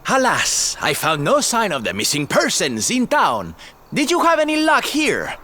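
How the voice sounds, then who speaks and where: An actor playing a flamboyant, self-important gentleman detective in a video game, grandiose and theatrical in delivery. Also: high voice, wildly swooping pitch, moderate pace, heavily acted. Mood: hearty. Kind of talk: scripted video game cutscene acting.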